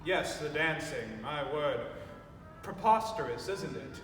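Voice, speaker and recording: A young man playing a stuffy, old-fashioned gentleman who grumbles and sputters pompously in a stage play. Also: fairly high pitch, expressive, unhurried, soft background music. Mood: flustered, indignant. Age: young adult. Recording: echoey stage hall from far off.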